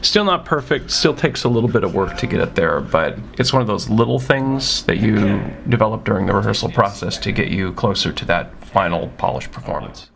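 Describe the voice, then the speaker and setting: A man's voice: lowish voice, animated, a little fast, vocal fry, few pauses, background noise. A man giving informal, encouraging instructional narration as a puppetry teacher, in a conversational way.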